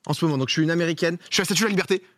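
A man playing a guessing game, thinking aloud in an excitable way and swearing as he goes. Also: a little high, racing, no echo. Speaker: game show contestant. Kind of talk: casual guessing-game chat.

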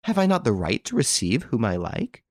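A man's voice: mid-range voice, huge pitch swings, normal speed, darkish tone, no echo, clean studio sound. A man voicing a passionate, defiant young woman film star in an audiobook story, breathless and emotional. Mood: proud.

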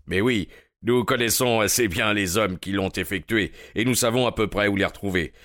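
A man's voice: lowish voice, normal speed, a bit bright, dry recording, studio quality, natural voice. A man voicing a bustling, pompous veteran police inspector in an audiobook, self-satisfied in tone. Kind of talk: audiobook character reading.

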